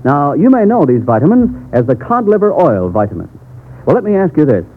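Vintage radio band, American male announcer, lively intonation, earnest scripted sales pitch. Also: brisk, phone-quality sound. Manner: earnest, persuasive. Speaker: commercial announcer. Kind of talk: 1940s radio advertising, scripted. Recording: thin, band-limited vintage radio broadcast.

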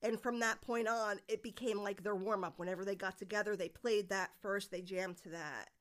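A woman's voice: medium pitch, steady tone, a little fast. Middle-aged woman, podcast guest, chatty rambling storyteller. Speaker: guest, musician's mother. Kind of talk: casual podcast chat.

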